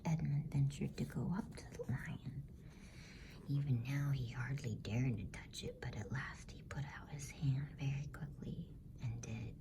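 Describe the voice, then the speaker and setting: A woman reading a storybook as ASMR narration in a hushed, soothing, unhurried whisper close to the microphone. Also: deep voice, creaky, slight background noise. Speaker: bedtime story reader.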